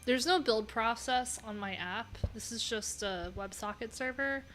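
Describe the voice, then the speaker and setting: A woman's voice: fairly high pitch, measured pace, fairly bright tone, low-quality recording. A woman hosting a casual coding livestream, talking candidly in a rambling way with lots of filler words. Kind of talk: casual live-stream chat.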